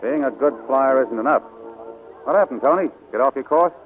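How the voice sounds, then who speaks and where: An actor playing a suave, lovelorn suitor in the style of 1940s radio acting. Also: male voice, mid-range voice, lively intonation, brisk, soft background music, lightly acted, poor audio. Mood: probing. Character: suave, lovelorn male suitor back from abroad.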